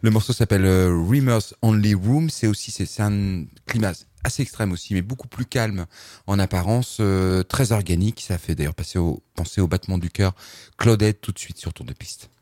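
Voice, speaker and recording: A man's voice: on the low side, moderate pace, dark tone. A man hosting a radio music show, chatting casually as he introduces tracks and stumbling over foreign names. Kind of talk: casual on-air chat presenting tracks.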